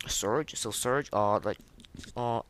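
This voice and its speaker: Low-voiced young man, hesitant and rambling. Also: takes it slowly, a bit bright. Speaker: podcast host. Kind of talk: casual unscripted ratings commentary on a video game.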